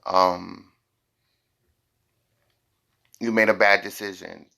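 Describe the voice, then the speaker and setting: A man with a very deep voice and plenty of fry, cut with a forceful, bright edge. He reads tarot informally for his viewers. His delivery is blunt, with phrases repeated for stress. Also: level tone, normal speed. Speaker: tarot reader, host.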